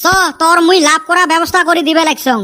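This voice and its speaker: A woman's voice: very high voice, fast, pushed and bright. A woman voicing a scheming male villager in a cartoon, speaking a Rangpur dialect and teasing his friend. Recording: noisy homemade recording.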